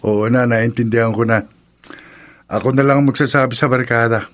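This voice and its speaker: An actor playing a grumbling but good-natured Filipino drinking buddy in a radio drama. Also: male voice, mid-range voice, steady tone, moderate pace, fairly bright tone, natural voice. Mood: teasing, resigned.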